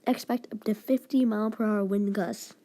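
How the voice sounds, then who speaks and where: A woman giving mock emergency news announcements in a formal but halting, amateur way. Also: medium pitch, fairly even intonation, mellow.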